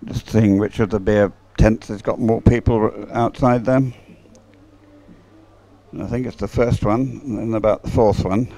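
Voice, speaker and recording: A man's voice: fairly low pitch, fairly even intonation, moderate pace, warm tone, poor audio. A British man giving casual, unscripted live sports commentary in a hesitant, rambling, observational way. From United Kingdom.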